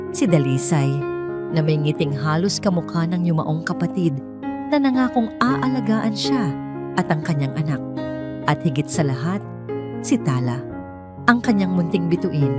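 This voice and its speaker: A woman reading a scripted dramatic story as narrator, in a measured, suspenseful way. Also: sits low. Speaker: narrator of audio drama stories.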